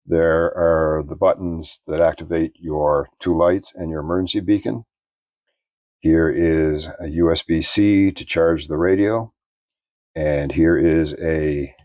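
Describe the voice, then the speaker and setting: A man giving an unscripted product review in a plainspoken, measured, explanatory way. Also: extremely low pitch, a little mellow, no echo, dull audio.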